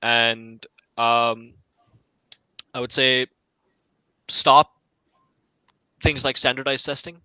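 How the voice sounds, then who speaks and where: A man's voice: mid-range voice, fairly even intonation, extremely slow, long pauses, sharp tone, clear, resonant tone, no room sound, rough recording. A young man giving his opinions politely and unscripted as a student panelist on a podcast.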